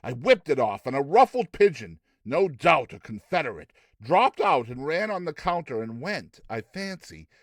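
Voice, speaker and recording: Guy reading an old short story, theatrical storyteller style, measured, really lively pitch, dry close sound. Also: no room sound. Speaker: narrator, reader. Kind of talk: dramatized audiobook narration of classic fiction.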